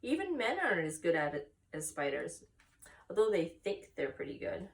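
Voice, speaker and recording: A wise female spider from a children's book, played by a woman reading aloud, frank but kindly. The delivery is instructive and very varied in pitch, recorded slightly away from the microphone. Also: huge pitch swings, normal speed, far from the mic, rough recording. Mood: wry. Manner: instructive, matter-of-fact.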